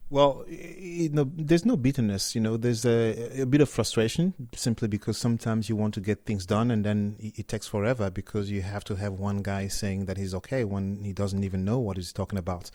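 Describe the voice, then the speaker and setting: A middle-aged man from Cameroon, a startup co-founder talking casually as an interview guest, relaxed and often restarting his words. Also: lowish voice, a little fast, few pauses, no room sound, clean studio sound.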